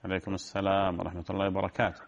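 A man's voice: low voice, completely flat delivery, rapid-fire, vocal fry, no room sound, lo-fi sound. A man hosting a call-in show as a religious scholar, answering his callers with brief, courteous replies.

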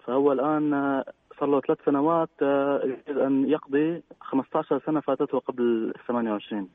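A man comes through a thin, phone-like line with a dry sound. He talks quickly and politely, stumbling over his words and filling the gaps with "uh". His pitch sits in the middle range. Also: steady tone, dry recording. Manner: polite, hesitant, many fillers. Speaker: caller. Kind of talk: phone-in question. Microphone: telephone.

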